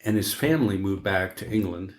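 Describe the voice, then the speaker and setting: Deep-voiced man in echoey room. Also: a little fast. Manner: opinionated, profane. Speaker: podcast co-host. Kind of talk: casual chat.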